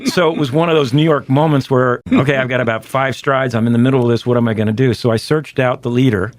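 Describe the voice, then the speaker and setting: A man co-hosting a talk show, telling a story in a measured way that builds suspense. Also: mid-range voice, fairly even intonation. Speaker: co-host.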